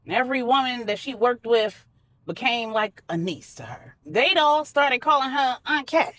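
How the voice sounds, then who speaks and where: A woman narrating a story for adults in a dramatic, deliberate way, with measured phrasing. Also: fairly high pitch, lively intonation, fairly bright tone, no room sound. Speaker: storyteller, host.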